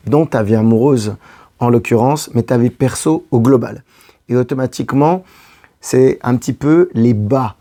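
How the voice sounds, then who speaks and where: A hoarse man working as a love coach, speaking straight to the viewer in a casual advice monologue and repeating his key phrases. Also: mid-range voice, warm tone. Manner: addresses the listener directly, repeating key phrases.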